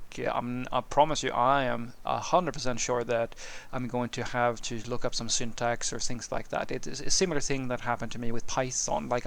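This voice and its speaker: A man's voice: medium pitch, gravelly, fairly bright tone. A man from Sweden whose first language is Swedish, talking casually and unscripted about programming, rambling with many restarts and fillers as he answers a viewer's question.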